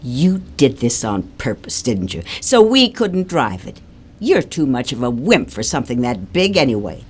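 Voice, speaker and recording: A woman's voice: a bass voice, very animated, normal speed, husky, exaggerated voice. An actress playing a bitter older mother-in-law in an audio drama, sarcastic and nagging. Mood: scornful, accusing.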